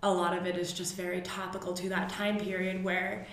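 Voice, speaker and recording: A woman's voice: on the low side, flat delivery, a little fast, fairly bright tone. A woman from southern New Hampshire co-hosting a podcast, chatting casually and drawing on personal anecdotes. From southern New Hampshire, USA. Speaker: podcast co-host. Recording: very echoey, reverberant room.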